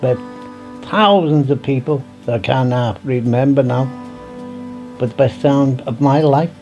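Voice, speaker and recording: A man's voice: medium pitch, measured pace, darkish tone, lo-fi sound, soft background music. A man with a Liverpool accent, reminiscing casually in an interview in plain-spoken, colloquial language. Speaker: CB radio hobbyist being interviewed.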